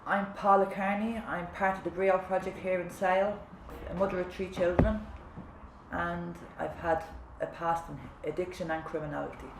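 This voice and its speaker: A woman's voice: on the low side, steady tone, normal speed. A woman and mother of three, a peer support worker, chatting casually about her project work. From Ireland. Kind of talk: recorded testimony. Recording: echoey room with clear background noise.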